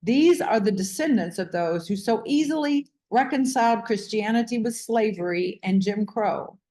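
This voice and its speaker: A woman host reading a book aloud in measured, emphatic phrases, with short comments of her own. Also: fairly high pitch, dark tone.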